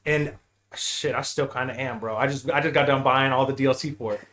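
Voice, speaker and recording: A man's voice: mid-range voice, steady tone, brisk, fairly bright tone. A man chatting casually as a podcast guest, slangy and repetitive, often saying bro and man. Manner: slangy, repeats himself, peppers talk with bro and man. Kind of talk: casual gaming chat.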